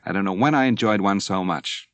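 A man, playing a calm, steady naval commander who talks in a measured, plain way. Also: sits low, dry recording. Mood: polite.

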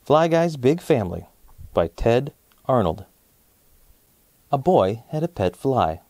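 Unhurried man reading to children, very animated pitch. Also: dry recording, studio quality. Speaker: host of a children's story channel. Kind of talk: scripted storybook narration for children. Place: small indoor set, dry sound.

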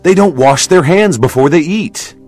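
A man's voice: on the high side, animated, moderate pace, darkish tone, faint music behind, close to an everyday voice. A man playing a challenging, accusing religious authority in a dramatised audiobook.

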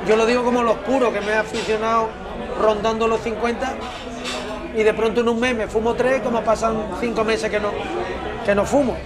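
A man in his fifties, a former footballer, talking in an unhurried, reflective way over lunch in a restaurant and often repeating words as he searches for them. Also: high-pitched, level tone, a crowd in the background. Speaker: interview guest, former footballer. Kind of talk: relaxed conversation over a meal. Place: restaurant by large windows.

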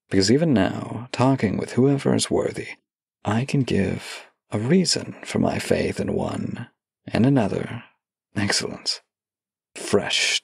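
A man acting a devoted romantic partner in an intimate audio drama, with a gravelly, husky voice, speaking heartfelt words close to the mic. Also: fairly low pitch, dry recording, full character voice. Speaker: voice actor reading love letters. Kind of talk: intimate audio drama, slow heartfelt letter reading and banter.

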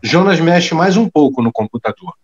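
An actor giving plain scripted narration of the actions in an audio drama. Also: male voice, on the high side, animated, normal speed, breathy edge, muffled recording. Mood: neutral.

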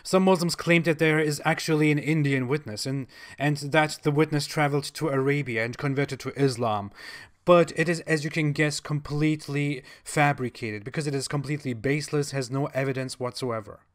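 A man's voice: fairly high pitch, a little fast. A man speaking in an explanatory, argumentative style.

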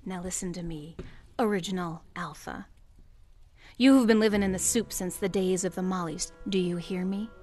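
A woman's voice: fairly low pitch, fairly even intonation, moderate pace, a little mellow, close to an everyday voice. A woman acts out a proud survivor queen of the sewers, solemn and weary, issuing short commanding lines in an audio drama. Music plays beneath her voice. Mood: stern, guarded. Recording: music under the voice.

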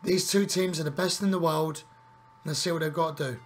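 A man with a husky voice, joining as a guest to casually commentate a wrestling game. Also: on the high side, fairly even intonation, fairly quick, slight background noise.

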